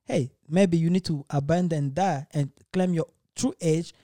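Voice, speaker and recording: Talk-show host, man around thirty, high and mellow voice, dry sound, laughs easily. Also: unhurried, no room sound. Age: 30s. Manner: laughs often, persuasive. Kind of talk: casual chat.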